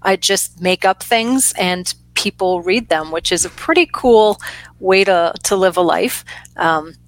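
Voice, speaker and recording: A woman's voice: lowish voice, a little slow, poor audio. A woman in her thirties, an author, answering interview questions from her home library over a video call in an easygoing, friendly way. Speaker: author guest.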